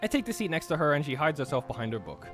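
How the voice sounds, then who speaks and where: A man chatting casually with friends while playing a game and reading its text aloud, animated and exclamatory. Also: on the high side, rapid-fire, loud music behind the voice. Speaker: host of a group visual novel playthrough. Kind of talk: casual group gaming chat with reading aloud.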